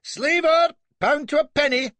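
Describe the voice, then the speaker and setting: Excitable young sea officer, shrill barked orders, husky edge, put on by a male reader, storybook. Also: normal speed, dry recording, heavily acted. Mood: eager. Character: eager young male naval officer, hot-tempered.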